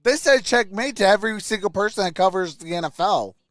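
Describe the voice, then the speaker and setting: A man's voice: high-pitched, brisk, a bit bright, no echo, clean studio sound. A man hosting a sports livestream, casually mixing play-by-play with informal, chatty replies to viewers. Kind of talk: casual live play-by-play and chat.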